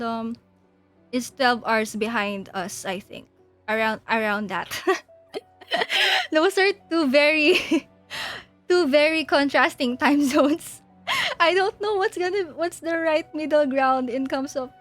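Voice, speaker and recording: Young woman, high pitch, slow halting delivery, giggly, casual stream host. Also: fairly bright tone. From Asia. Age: young adult. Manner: giggly, frequently laughing mid-sentence. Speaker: livestream host. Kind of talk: casual livestream chat. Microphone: mic on a boom arm.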